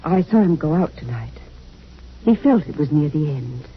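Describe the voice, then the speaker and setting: An actress playing a gentle, sorrowful android wife in a 1950s radio drama, speaking softly and wistfully in measured phrases. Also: female voice, deep voice, animated, slightly rough, full character voice. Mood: quiet grief. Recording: muffled, noisy old radio recording.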